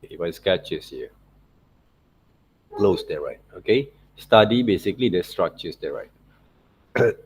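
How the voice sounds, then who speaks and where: A man's voice: deep voice, expressive, measured pace, long pauses, husky. A man giving an informal tutorial as a teacher, often checking in with little tag questions. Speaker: art teacher. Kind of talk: informal screen-share tutorial commentary.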